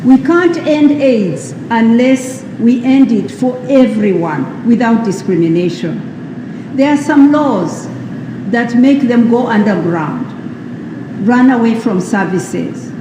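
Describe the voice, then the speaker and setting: A woman from Uganda giving a formal public speech, slow and emphatic, posing rhetorical questions. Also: fairly high pitch, echoey room, long reverb tail, background noise. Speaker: speaker at a World AIDS Day event. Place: large hall at a public ceremony.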